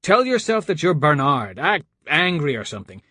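A man voicing an anxious young man in charge, pleading and pressing out hurried instructions as in an audiobook. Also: fairly high pitch, sing-song, bright, forceful tone, slightly rough, no echo, studio quality, pitched above the performer's own voice, heavily acted. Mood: urgent, pleading. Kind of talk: audiobook character dialogue.

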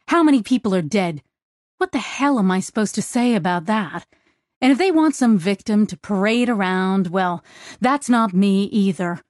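A woman's voice: mid-range voice, darkish tone, no echo, studio quality, lightly acted. A woman voicing a tired, self-doubting male starship captain in an audiobook.

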